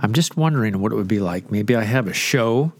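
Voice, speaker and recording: A man's voice: on the low side, expressive, fairly quick, slight background noise. Congested man in loose radio chat. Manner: rambling, joking, self-deprecating. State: stuffy, congested nose. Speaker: radio show host and pastor. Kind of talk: casual off-the-cuff radio chat.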